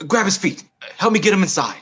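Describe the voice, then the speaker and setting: A man playing a protective, hospitable father surviving a zombie apocalypse in a table read, with a husky voice. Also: high voice, expressive, brisk, fairly bright tone, full character voice. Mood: alarmed, urgent. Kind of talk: dramatic comic-script table read.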